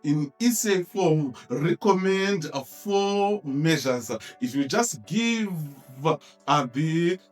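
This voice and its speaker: A man teaching an exam-technique lesson, explaining in a halting way and repeating key phrases for emphasis. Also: fairly high pitch, expressive, recorded from a distance, faint music behind. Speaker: history teacher.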